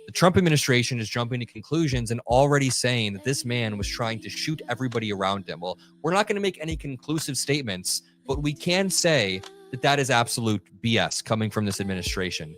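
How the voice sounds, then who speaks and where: Male commentator, mid-pitched, emphatic and indignant, narrating footage for viewers. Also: big pitch swings, brisk, hardly any pauses, a bit bright. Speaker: political commentator. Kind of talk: online news commentary.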